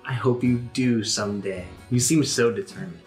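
A man's voice: medium pitch, normal speed, faint music behind, natural voice. Man playing a mocking, elusive villainess. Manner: mocking, teasing. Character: taunting, elusive female villain.

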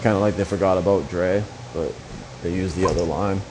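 A man's voice: deep voice, fairly even intonation, a little fast, noisy background. A young man casually commenting on a mobile fighting game, rambling and swearing with joking asides.

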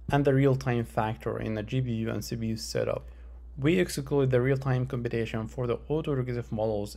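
A man giving a scripted academic presentation as a researcher, in a measured, formal, explanatory way. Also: medium pitch, vocal fry, dark tone. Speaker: researcher presenting a conference paper.

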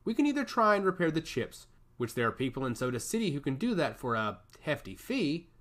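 A blunt, no-nonsense woman scientist, as a male narrator puts her on, lifting his voice higher than his usual. She speaks firmly and clipped, with a lively rise and fall in every line, and turns harsh when pressed. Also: brisk. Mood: wry. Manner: firm and clipped, turning harsh. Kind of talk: audiobook character voice.

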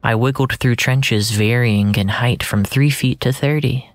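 A narrator reading scripted first-person fiction in a measured, literary storytelling manner. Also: mid-range voice, no echo. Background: music.

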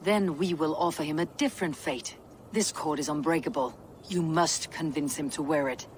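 Game role male actor: guarded warrior god-king, slightly high male voice, terse, resolute, faint background noise. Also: moderate pace, natural voice. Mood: cold, resolute. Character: guarded, commanding king of gods, armored warrior. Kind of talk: video game cutscene acting.

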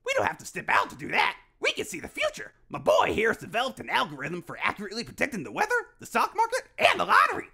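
An old hillbilly inventor, creaky and cracked. His folksy cartoon delivery is eccentric and erratic, leaping wildly up and down with a sharp bright edge. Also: very high voice, wildly swooping pitch, fast talker, hardly any pauses, exaggerated voice. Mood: excitable, awkward. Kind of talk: cartoon character acting.

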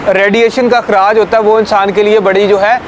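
Young male trainer, higher voice, patient explainer, recorded outdoors by a camera a few meters off with light street noise. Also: flat delivery, fairly quick. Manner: explanatory, checks understanding with "ठीक है" and "समझ आई है?". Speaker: safety trainer. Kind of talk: hands-on safety demonstration. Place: outdoors beside a building, small group of trainees.

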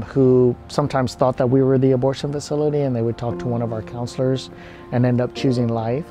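A man in his forties, a pregnancy center leader, explaining steadily in a seated interview inside a parked van. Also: mid-range voice. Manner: steady, plain explaining with fillers.

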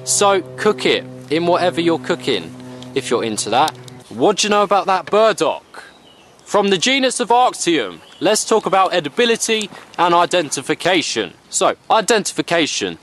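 Young man, wry bushcraft narrator, dry humor, slightly higher voice. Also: fairly bright tone. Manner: dry, wry, sarcastic asides. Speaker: bushcraft vlogger. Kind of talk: scripted voice-over narration.

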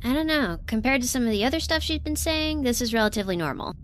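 Sarcastic young female crewmate actress, dry, fairly high voice, exasperated, sci-fi audio drama. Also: expressive, fast talker, slight background noise, close to an everyday voice. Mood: puzzled. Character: exasperated, sarcastic young woman aboard a spaceship. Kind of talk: science fiction audio drama.

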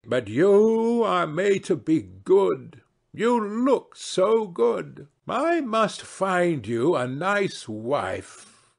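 A man voicing a witty, imperious old aristocratic hostess in a novel reading, effusive, drawn-out and theatrical. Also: sits high, big pitch swings, vocal fry, voice pushed up, full character voice. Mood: arch, teasing. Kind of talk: dramatised classic novel reading.

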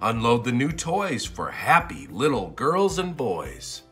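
A man reading a children's storybook aloud in an expressive, sing-song and deliberate way. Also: mid-range voice, breathy edge, faint music behind. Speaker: storybook narrator.

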